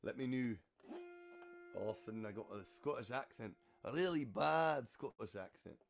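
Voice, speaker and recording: A man in his forties chatting casually between songs on a home livestream, relaxed and rambling with fillers. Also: medium pitch, normal speed, gravelly, faint music behind. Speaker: singer and host of a live music stream. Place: small living room, indoors.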